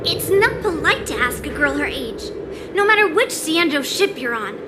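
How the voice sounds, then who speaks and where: A woman's voice: high voice, big pitch swings, normal speed, music in the background. A woman voicing a blunt, headstrong, self-assured young girl sword fighter in a video game. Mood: tart. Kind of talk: video game cutscene acting.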